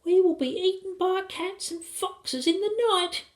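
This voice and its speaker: Storybook small son: tiny excitable boy, squeaky high pitch, childlike chirp. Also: a little slow. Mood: tearful. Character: tiny chirping excitable little boys with wings.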